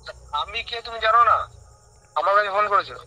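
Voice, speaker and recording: A man's voice: high voice, lively intonation, fast, pushed and bright, highs cut off. A man in a casual phone conversation, questioning the other person insistently. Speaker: prank call recipient.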